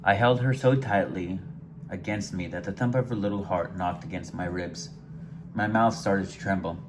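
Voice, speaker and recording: A man reading his own first-person short story aloud in a gentle, unhurried way, sometimes stumbling over a word. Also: low voice. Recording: noticeable background hiss.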